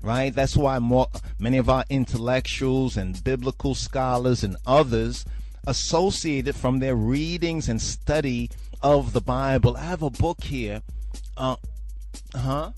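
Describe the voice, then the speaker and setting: Male podcast host, mid pitch, preachy rambling commentary. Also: measured pace, darkish tone, faint music behind. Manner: rambling, repeats "you understand?".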